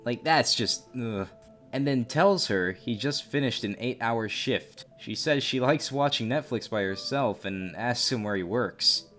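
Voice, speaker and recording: Male commentary host, lively swinging pitch, expressive and openly appalled, reading chat logs over a music bed. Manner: exclamatory, openly disgusted asides. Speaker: YouTube host narrating a story. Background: background music.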